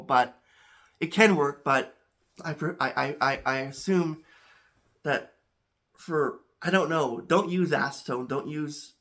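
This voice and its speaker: Congested man, halting and bright. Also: mid-range voice. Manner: halting, many restarts and repeated words. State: a little congested. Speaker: home chemistry channel host. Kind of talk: casual talk to camera.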